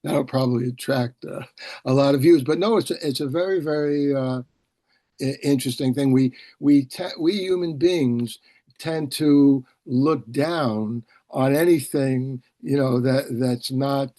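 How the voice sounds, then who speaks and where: A man hosting a casual video-call discussion, explaining things in a halting, discursive way and often repeating words. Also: medium pitch, warm tone, dry recording. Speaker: host, publisher and professor.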